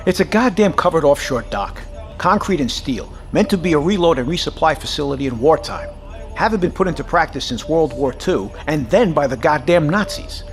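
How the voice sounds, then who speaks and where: An actor playing a gruff, sarcastic, exasperated submarine captain in an audio drama, with a profane, sardonic way of talking. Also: male voice, a little high, expressive, a little fast, faint music behind.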